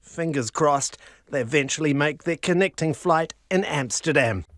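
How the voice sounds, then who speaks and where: A man delivering a scripted radio news report in a crisp, measured way. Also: on the high side, a bit bright, dry recording, studio quality.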